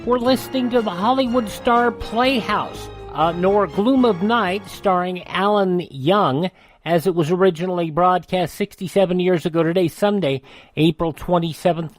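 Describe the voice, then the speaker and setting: A man working as a radio host, reading announcements and pitches in a folksy, conversational way. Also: rough recording. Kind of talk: host reads, sponsor pitch and old news headlines.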